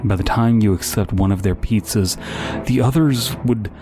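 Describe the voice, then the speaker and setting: Man narrator, very deep and slow, grave horror reading over music. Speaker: story narrator.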